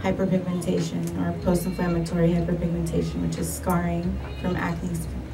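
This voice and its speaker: A woman's voice: on the low side, completely flat delivery, brisk, gravelly, a loud crowd. A woman from El Salvador, a skincare business owner, giving brief, matter-of-fact answers in a casual radio interview. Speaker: guest, aesthetician and business owner. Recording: distant mic with background noise.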